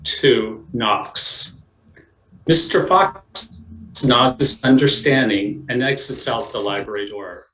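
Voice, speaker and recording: A man's voice: medium pitch, slow pace, background noise. A man reading scripted narration as the narrator of a stage play. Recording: roomy echo.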